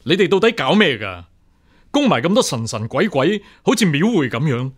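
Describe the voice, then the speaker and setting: A man with a Hong Kong Cantonese accent playing a stern, righteous imperial examiner in a radio drama, forceful and oratorical. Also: a little high, sing-song, normal speed, slightly rough. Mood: brisk, official. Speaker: actor.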